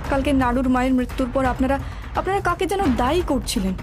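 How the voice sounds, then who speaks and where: A woman's voice: sits high, fast talker, over music, natural voice. A woman playing an anxious, indignant young daughter-in-law in a cartoon horror story. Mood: questioning, indignant.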